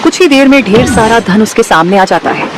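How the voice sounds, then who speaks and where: Lively high-voiced woman narrating. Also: a little fast, noisy background. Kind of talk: scripted Hindi story narration.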